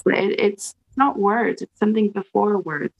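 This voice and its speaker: A woman on a video call gently guiding a mantra meditation in a soft, slow, soothing way. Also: mid-range voice, creaky, slightly rough, muffled recording. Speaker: guest leading a mantra exercise.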